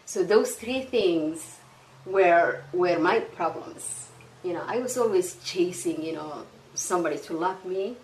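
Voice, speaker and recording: A woman's voice: lowish voice, darkish tone, distant mic, slight background noise. A woman with a Somali accent speaks earnestly, stopping and restarting often. She sits some way back from the mic in a roomy space, so her voice echoes a little. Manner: earnest, halting, many restarts and "you know". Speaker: guest. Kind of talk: casual testimony interview.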